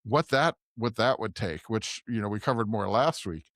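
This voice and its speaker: Pria pembawa siniar, bicaranya tersendat-sendat. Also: male voice, lowish voice, lively intonation, a bit bright, no echo, studio quality. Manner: halting, searching for words, often asks "right?". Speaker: podcast co-host, preacher. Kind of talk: conversational scripture discussion.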